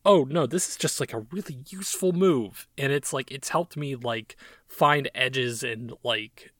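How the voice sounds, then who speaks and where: A man's voice: medium pitch, unhurried, fairly bright tone, no echo, clean studio sound. A man co-hosting a gaming podcast, talking in a rambling, enthusiastic way with lots of fillers. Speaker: podcast co-host. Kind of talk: casual gaming podcast chat.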